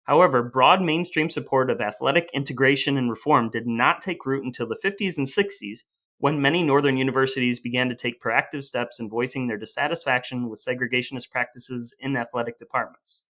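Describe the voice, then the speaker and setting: A man with an American accent co-hosting a podcast, telling stories in an animated, conversational way. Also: mid-range voice, fairly quick. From United States. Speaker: podcast co-host. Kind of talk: conversational storytelling and discussion.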